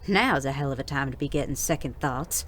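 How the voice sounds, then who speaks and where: A woman's voice: extremely low pitch, a little fast, a bit bright, a little hiss or hum. A woman playing a tough, teasing Wild West saloonkeeper in an audio drama, with folksy slang and a dry manner. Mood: gruff concern. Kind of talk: audio drama western dialogue.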